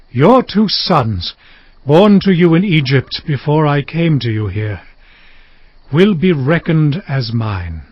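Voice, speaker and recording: A man playing a dying aged patriarch in a dramatized audio Bible, solemn and tender, speaking in halting phrases. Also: on the high side, no room sound, highs cut off, heavily acted. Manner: slow, halting, solemn delivery.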